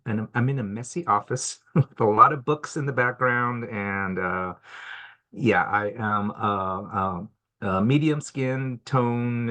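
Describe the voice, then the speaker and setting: A middle-aged man born in Mexico and raised in Washington State introduces himself on a video call from his office. His voice is somewhat low but bright and forceful. He speaks in a relaxed, halting way, full of uhs. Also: dull audio. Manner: relaxed, halting with many fillers. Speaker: program manager. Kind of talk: webinar self-introduction. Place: office at home or work. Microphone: computer mic on a video call.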